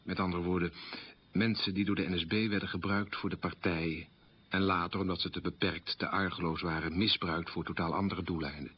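A man playing a troubled, burdened middle-aged character, giving a measured, articulate confessional monologue for radio. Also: low-pitched, fairly even intonation, a little hiss or hum, lightly acted. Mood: troubled, sober. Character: troubled man burdened by his family's collaborationist past. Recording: dull, lo-fi radio recording.

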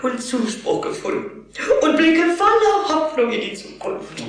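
An actress playing a sentimental, easily indignant widowed vampire aunt in an audio play, speaking in a formal, theatrical, drawn-out way. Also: female voice, high voice, big pitch swings. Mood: solemn, sentimental. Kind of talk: German audio play acting. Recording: very echoey, distant from the mic.

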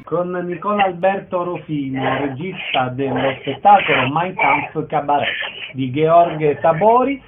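A man's voice: a little high, expressive, a little slow, over music. A man hosting a radio interview, putting questions to guests. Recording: thin, phone-like radio sound.

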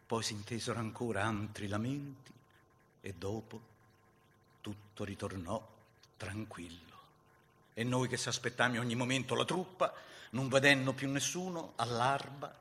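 An actor as a theatrical Roman veteran, noisy recording. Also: male voice, medium pitch, normal speed, in a large hall, lightly acted. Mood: somber. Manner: theatrical, impassioned, swinging between hushed and loud. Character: grieving Garibaldian veteran recounting a bloody battle. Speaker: actor reciting a dialect poem. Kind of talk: dramatic verse recitation.

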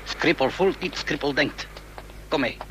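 An actor playing a suspicious, coldly rational secret police agent, clipped and authoritative, in a radio play. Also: male voice, fairly high pitch, measured pace, background noise, natural voice. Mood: stern.

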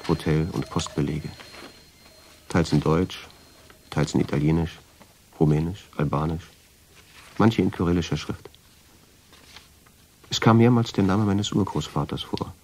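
Deep-voiced, brooding male narrator. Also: darkish tone, a little hiss or hum. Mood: reflective. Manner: measured, suspenseful storytelling. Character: brooding man recounting a mysterious family discovery. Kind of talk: first-person radio drama narration.

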